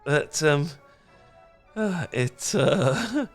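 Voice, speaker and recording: A man's voice: fairly high pitch, big pitch swings, drawn-out words, faint music behind, full character voice. A British man playing a cute, awkward young dork in a romantic roleplay, stammering and rambling as he talks. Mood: flustered. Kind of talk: romantic ASMR roleplay acting.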